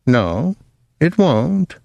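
A curt, impatient young businessman, as a man reads him, clipped and dry. The pitch still moves in lively turns. The sound is dead and close. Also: drawn-out words, vocal fry, no room sound, muffled recording, exaggerated voice.

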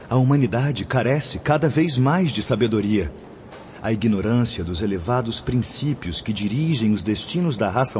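An actor playing a charismatic, solemn spiritual teacher in a radio drama, preaching formally and eloquently with a Brazilian accent. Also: male voice, lowish voice, a little mellow, lightly acted. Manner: preachy, formal, oratorical delivery. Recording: dull lo-fi audio with background noise.

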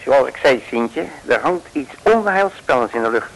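Casting: male actor as folksy detective, old radio play; blustering, jovial, very animated intonation. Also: medium pitch, moderate pace, slight background noise, close to an everyday voice, low-quality recording. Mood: cocky. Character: cocky, folksy male detective, slangy and self-assured. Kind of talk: 1960s Dutch radio play acting.

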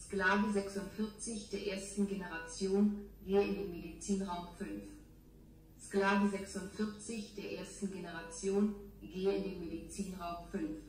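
A woman acts as a female surveillance computer in a science fiction radio play, heard from far off in heavy echo. She delivers orders in a measured, impersonal announcement, with faint noise around her. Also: mid-range voice, level tone, close to an everyday voice. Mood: impersonal, commanding.

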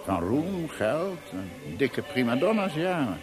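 An actor playing a cynical, blunt, nosy theatre intriguer in a radio drama. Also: male voice, on the high side, very animated, slow pace. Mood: sardonic, dismissive. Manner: blunt, sardonic, hesitating mid-sentence. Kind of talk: Dutch radio drama acting.

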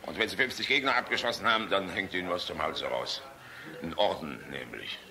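An actor in a German radio play voicing a jovial, irreverent, sharp-tongued middle-aged air force general. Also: male voice, low-pitched, brisk, crowd noise. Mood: sardonic. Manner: jovial, sardonic, blunt.

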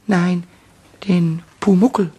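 Impish childish kobold: squeaky, breathy, stammering, cheeky radio-play sprite. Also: male voice, steady tone, extremely slow, warm tone, heavily acted. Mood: coaxing. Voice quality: high, squeaky, slightly husky. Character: mischievous little male kobold, impish and childish.

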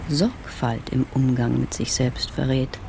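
An actor playing a self-absorbed, fastidious traveller in a radio drama monologue, coolly sizing people up. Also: male voice, mid-range voice, fairly even intonation, creaky, noisy background. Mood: appraising.

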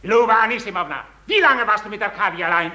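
An actor playing an imperious, suspicious count in a 1950s German radio play, interrogating in clipped phrases. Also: male voice, high voice, lively intonation, fast talker, sharp tone, full character voice. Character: imperious, suspicious Russian count, cruelly gracious master.

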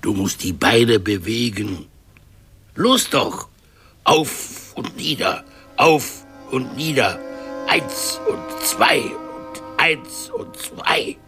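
A sharp, swooping, scornful bully. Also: male voice, mid-range voice, expressive, very slow, faint music behind, exaggerated voice. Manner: sardonic, declamatory. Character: scornful, bullying man impatient with invisible suffering. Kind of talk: 1970s German radio drama acting.

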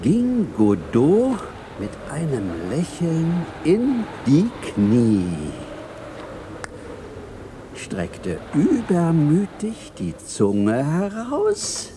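An actor in a German radio drama playing a scheming comedian with drawn-out, theatrical delivery, on a noisy cassette recording. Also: male voice, fairly high pitch, huge pitch swings, exaggerated voice. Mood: slow, theatrical.

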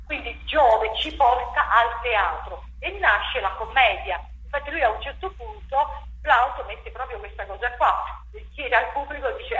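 A woman with a fairly high voice, a playwright and director, talks animatedly about her work. She thinks aloud, often restarts and doubles back, and keeps the talk going. The room is echoey, with a faint hiss underneath. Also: sharp tone, lo-fi sound. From Italy. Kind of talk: interview about a stage play.

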